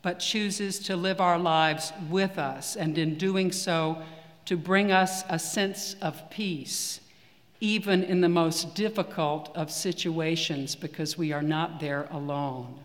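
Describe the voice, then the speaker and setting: Slow, high-voiced man preaching. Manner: measured, earnest preaching with deliberate pauses. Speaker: priest. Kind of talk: sermon. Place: church. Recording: long reverb of a church hall.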